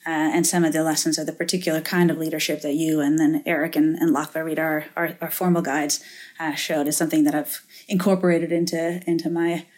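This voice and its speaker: A middle-aged woman from Finland speaks as a guest in an interview, with a somewhat low voice. She talks in a reflective, halting way, full of restarts and fillers as she works through her answers. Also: level tone, a little mellow. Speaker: guest, venture capitalist. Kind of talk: interview conversation.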